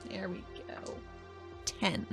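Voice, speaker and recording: A woman's voice: takes it slowly, over music. A woman chatting casually as a player in a tabletop role-playing podcast. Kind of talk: casual tabletop roleplay chat.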